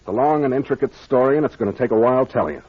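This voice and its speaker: A man plays a take-charge American police captain. He is brusque and slangy, with a husky mid-range voice. The old radio drama sound is muffled. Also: mid-range voice, close to an everyday voice. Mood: assured, explaining. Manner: brisk, commanding, slangy.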